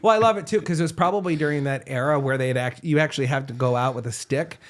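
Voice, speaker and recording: A man hosting an interview podcast, upbeat and quick to laugh. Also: fairly high pitch, heavy background noise. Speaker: podcast host.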